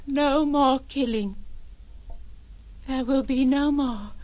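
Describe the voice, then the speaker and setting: A woman's voice: high voice, takes it slowly, darkish tone, no echo. An actress playing a dying young woman in an audio drama, devoted in love, with a tender, faltering delivery. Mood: tender, triumphant.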